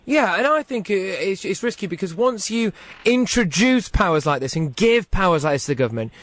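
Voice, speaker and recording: A man's voice: high-pitched, sing-song, fairly quick, fairly bright tone, no echo. A British man hosting a late-night talk radio phone-in, speaking emphatically and posing probing questions. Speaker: radio host.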